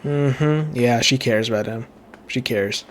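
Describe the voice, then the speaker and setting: A man reacting casually to a game as he streams it, with an ordinary mid-pitched voice. His talk is slangy and halting.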